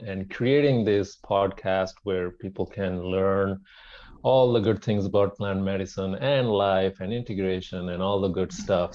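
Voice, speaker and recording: A middle-aged man from Pakistan talks in a fairly low voice on slightly muffled audio. He is a podcast guest, telling his own story in a casual, conversational style. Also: darkish tone. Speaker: podcast guest, wellness centre founder. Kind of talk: casual personal storytelling in an interview.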